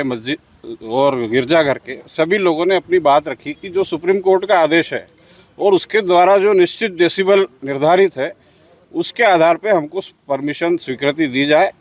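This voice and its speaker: A man speaking as a community representative, giving a formal, deliberate statement to a news camera in short phrases. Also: on the high side.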